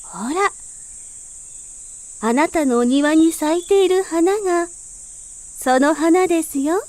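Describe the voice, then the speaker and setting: A woman's voice: very high voice, takes it slowly, a little mellow. A woman narrating a children's fairy tale as a scripted storyteller.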